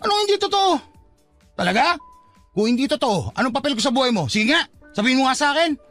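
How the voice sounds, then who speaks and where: A man's voice: very high-pitched, sing-song, fast, fairly bright tone, soft background music, exaggerated voice. An actor playing a hurt, fed-up young father in an emotional, reproachful Filipino radio drama style. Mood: agitated, bitter. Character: hurt, fed-up young father giving up on his unrequited love.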